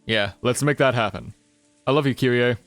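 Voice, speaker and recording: A man playing a smooth, cocky middle-aged businessman with a breezy, confident way of talking. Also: mid-range voice, moderate pace, fairly bright tone, faint music behind, lightly acted. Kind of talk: fan livedub of a visual novel.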